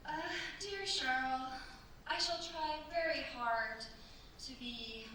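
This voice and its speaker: An actor playing a dutiful, anxious young French bride in a staged radio play, speaking politely and hesitantly. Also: female voice, high-pitched, lively intonation, takes it slowly, pushed and bright, exaggerated voice. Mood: uneasy, wistful. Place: staged performance in a cultural centre. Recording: very echoey hall, distant mics, faint hiss.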